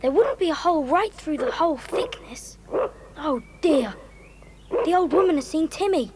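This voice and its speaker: High-voiced, sensible boy adventurer. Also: lively intonation, normal speed. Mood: puzzled, earnest. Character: sensible, polite, well-spoken boy leading child adventurers. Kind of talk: children's audio drama acting. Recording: clear background noise with birdsong.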